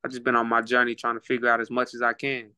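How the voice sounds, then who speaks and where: A man's voice: medium pitch, monotone, racing, pushed and bright, smooth, no echo. A man with an Oklahoma accent, talking as a guest on a casual podcast in a laid-back, reflective way, repeating words while he thinks. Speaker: guest, rapper and boxer.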